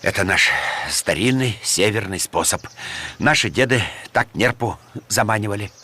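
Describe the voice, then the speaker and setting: A man voicing an excitable, stubborn, scheming polar bear in a children's cartoon, exclaiming and muttering theatrically. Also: lowish voice, moderate pace, slight background noise. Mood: stubborn, dismissive. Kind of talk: animated children's cartoon acting.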